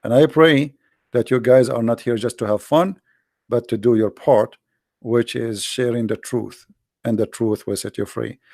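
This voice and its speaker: Man, gravelly voice, dry close sound, blunt emphatic livestream host. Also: medium pitch, steady tone, unhurried, mellow, dry recording. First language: Arabic. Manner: blunt, emphatic, preaching to viewers. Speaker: host of a daily online broadcast. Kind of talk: livestream monologue.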